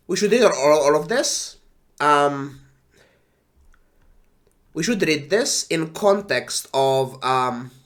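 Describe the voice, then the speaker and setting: A man who is sick and congested, sighing and talking slowly while casually reading game patch notes on a stream. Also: fairly high pitch, fairly bright tone. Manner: slow, sighing, trailing off. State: congested with flu.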